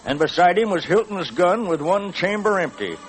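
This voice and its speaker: Sheriff: Western American accent, firm, higher-set voice, vintage radio cowboy drama. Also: male voice, lively intonation, normal speed, faint music behind, low-quality recording. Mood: grave. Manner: folksy, firm. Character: blunt, commanding Old West sheriff. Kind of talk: old-time radio western acting.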